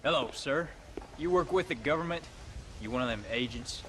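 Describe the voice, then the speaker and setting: A man's voice: mid-range voice, expressive, moderate pace, a bit bright, lightly acted. A man playing a young American Western cowboy, polite but set on avenging his father, in a game cutscene. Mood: polite, earnest. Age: young adult. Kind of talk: video game cutscene acting. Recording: clear outdoor ambience noise behind.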